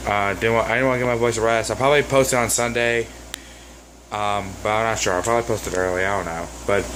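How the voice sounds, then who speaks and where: A man with a hoarse voice, ranting about sports in a casual vlog, rambling and exasperated with lots of fillers. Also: on the low side, steady tone, fast, a bit bright, a little hiss or hum, low-quality recording. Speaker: sports vlogger.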